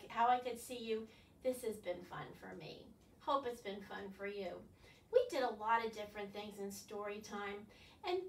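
High-pitched older woman leading storytime. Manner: animated, instructing, with frequent questions to children. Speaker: children's librarian leading storytime. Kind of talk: children's storytime, addressing young viewers. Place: small living room, indoors. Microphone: far camera. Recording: roomy, distant camera microphone.

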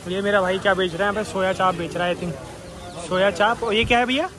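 A man's voice: high voice, steady tone, fast talker. A chatty man vlogging casually as he walks around an outdoor market, often repeating himself. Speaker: vlogger filming a market tour. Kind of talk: casual street vlog chat. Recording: loud busy street noise, crowd and traffic.

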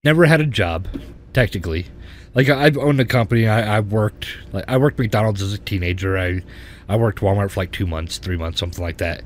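A man in his thirties hosting a podcast, telling his life story in a rambling, halting way with many restarts. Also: fairly low pitch, a little hiss or hum. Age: 30s. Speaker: podcast host. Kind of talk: casual personal storytelling.

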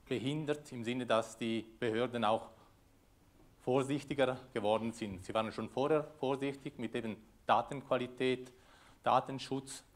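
Man giving a talk. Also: medium pitch. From Switzerland. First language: Italian. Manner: loose, hesitant delivery with many fillers. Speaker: conference speaker. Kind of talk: conference presentation. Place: lecture room with audience. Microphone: lectern microphone.

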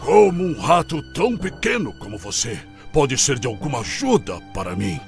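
A man voicing a proud, gruff lion king in a children's cartoon fable, with an imperious, theatrical delivery. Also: mid-range voice, very animated, normal speed, music in the background. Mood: scornful, menacing.

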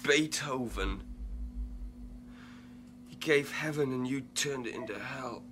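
An actor playing an anguished teenage delinquent in a radio drama. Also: male voice, mid-range voice, slow, fairly bright tone, a little hiss or hum. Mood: weak, reproachful. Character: Alex, violent teenage delinquent turned anguished experiment victim. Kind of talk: radio drama acting with slangy narration.

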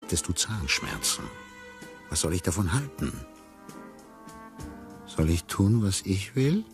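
An actor playing a brooding, rebellious circus tiger in a theatrical radio drama, halting and then bursting out. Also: male voice, low voice, lively intonation, soft background music, heavily acted. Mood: uneasy.

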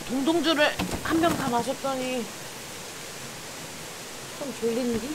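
A woman talks through her solo camping trip in a slow, unhurried way, laughing easily. Her voice sits a little high. A steady outdoor hiss is clearly heard behind her. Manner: relaxed, chatty, laughs easily. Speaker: camping vlogger. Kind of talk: casual solo vlog chat. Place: campsite in a valley outdoors.

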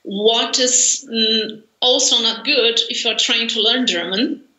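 Slow-speaking Brazilian woman on a video call. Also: fairly high pitch, fairly even intonation, bright, forceful tone. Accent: Brazilian Portuguese. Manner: thoughtful, laughs often, many fillers. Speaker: interview guest, language learner. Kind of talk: conversational interview. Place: home room, indoors. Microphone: video call webcam. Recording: roomy video call audio.

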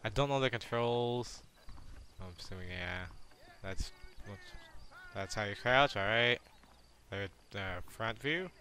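A young man giving crude, excitable commentary while playing a game. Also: lowish voice, slow pace, long pauses. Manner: crude, excitable, laughs often. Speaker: gamer.

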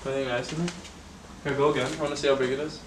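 Young guy tagging along, mid voice, off away from the mic in an echoey empty house, loud hiss over him. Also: medium pitch, fairly even intonation, rapid-fire. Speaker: exploring companion. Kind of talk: casual chat. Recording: distant, echoey, heavy noise.

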